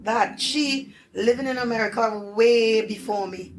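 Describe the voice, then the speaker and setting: A woman's voice: on the high side, measured pace, low-quality recording. Older trinidadian woman, thick accent, blunt and emphatic, faint noise in the background. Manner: plain-spoken, emphatic, broken Caribbean grammar. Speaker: grandmother guest. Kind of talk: casual family conversation.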